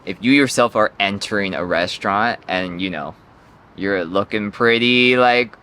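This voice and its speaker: Brief: young male interviewer, low-pitched, easygoing and slangy, faint background hiss. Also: unhurried, pushed and bright. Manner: laid-back, slangy, lots of fillers, laughs easily. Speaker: interviewer and channel host. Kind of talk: casual conversational interview.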